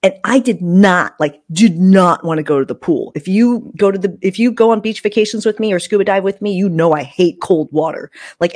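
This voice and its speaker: Low-pitched woman, dry close sound. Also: a little mellow, dry recording. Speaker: podcast host. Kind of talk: casual personal storytelling. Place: small room indoors, video call. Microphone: close podcast microphone with fluffy windscreen.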